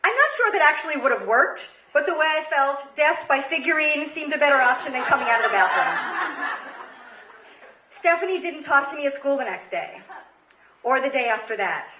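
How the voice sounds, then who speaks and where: A high-pitched American woman in her thirties performs comedic storytelling live. Her voice is bright and forceful, with dramatic emphasis and pauses. It is recorded in a roomy, echoing space. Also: lively intonation. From Queens, New York, United States. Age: 30s. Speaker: comedy storyteller, show host. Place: live comedy stage before an audience. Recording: roomy live stage recording, faint noise.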